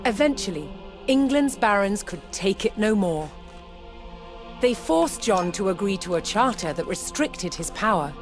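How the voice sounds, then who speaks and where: A woman reading scripted historical narration for a strategy game, telling the story in a measured, deliberate way. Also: mid-range voice, sing-song. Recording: studio voice-over with music underneath. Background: music.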